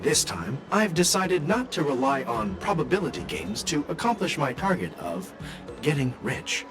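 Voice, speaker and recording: Casting: a down-on-his-luck game townsman, swoopy creaky voice, scheming gambler, over music. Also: fairly high pitch, big pitch swings, normal speed. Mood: resigned, scheming. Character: luckless male gambler townsman, chatty schemer. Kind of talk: acted game side-character chatter.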